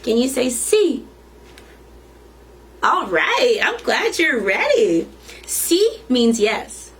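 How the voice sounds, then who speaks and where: A woman's voice: sits high, very animated, extremely slow, a bit bright, slight background noise. A woman reading a children's picture book aloud as a storytime reader, expressively and with questions to the listeners.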